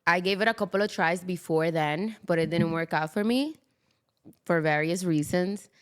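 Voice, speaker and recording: A young woman from the Dominican Republic, a model chatting as a podcast guest, animated and laughing often, with many fillers. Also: fairly low pitch, moderate pace, smooth. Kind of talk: casual interview chat. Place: South Florida.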